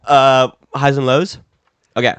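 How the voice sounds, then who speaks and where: A young man co-hosting a casual chat podcast, relaxed and rambling, with a slightly stuffy nose from a cold. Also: medium pitch, a little slow, clear, resonant tone, no echo. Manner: relaxed, rambling, laughs easily. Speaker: podcast co-host.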